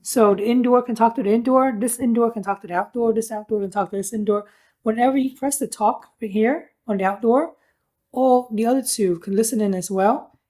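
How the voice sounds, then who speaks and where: A woman's voice: medium pitch, dark tone. A woman giving a casual hands-on product review, explaining things in an informal, plain-spoken way.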